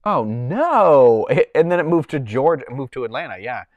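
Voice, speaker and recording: A man's voice: mid-range voice, sing-song, moderate pace, dry recording. A man with a Boston accent, hosting a casual livestream from home and chatting in a rambling way while he reads the chat. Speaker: livestream host.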